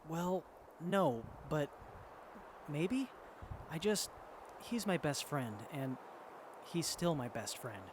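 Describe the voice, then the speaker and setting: A man's voice: fairly high pitch, animated, slow, long pauses, background noise. A man playing an earnest, hesitant, kind-hearted wasteland survivor in an audio drama dialogue. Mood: conflicted, hesitant. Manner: hesitant, sincere, soft-hearted.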